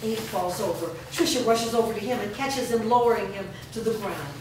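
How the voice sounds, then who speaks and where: A woman's voice: a little high, a little fast. Distant, echoey woman reading stage directions. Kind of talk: scripted narration at a staged reading. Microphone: distant microphone. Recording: distant, very echoey, noisy room recording.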